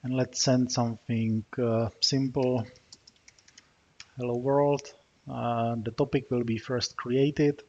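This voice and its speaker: A Czech man talks through a terminal demo at a mid pitch, stopping often with small fillers as he types commands. The recording is a bit dull and muffled, with keyboard clicks under his voice. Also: flat delivery, takes it slowly, mellow, a little hiss or hum. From Czech Republic. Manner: narrates while typing, frequent uh fillers. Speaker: software developer demoing a tool. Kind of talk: screencast tutorial walkthrough.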